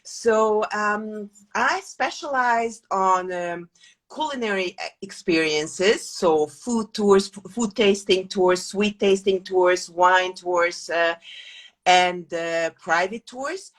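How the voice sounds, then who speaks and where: A woman's voice: medium pitch, takes it slowly. A woman from Hungary, a guest in a casual interview, talking with many hesitant fillers and restarts. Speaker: guest, food tour company founder.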